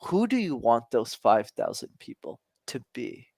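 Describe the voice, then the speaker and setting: A man in his twenties, a communication coach talking as a podcast guest, energetic and emphatic, casual with some swearing. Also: medium pitch, a little slow, gravelly, no echo, clean studio sound. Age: 20s.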